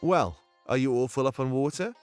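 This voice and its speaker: A man's voice: mid-range voice, fairly quick, clean tone, soft background music, close to an everyday voice. A man voicing a persuasive, wry rebel companion in a fantasy visual novel, casual and teasing. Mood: breezy. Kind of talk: visual novel game voice acting.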